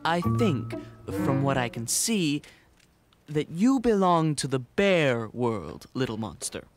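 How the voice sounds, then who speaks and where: An actor voicing a wise, pompous, measured adult owl in a children's cartoon. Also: mid-range voice, big pitch swings. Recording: music behind the voice.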